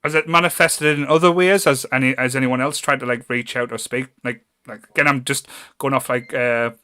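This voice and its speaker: A podcast host with a North East English accent, chatting casually in a halting way and often saying "like". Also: medium pitch, steady tone, sharp tone. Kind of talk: casual chat.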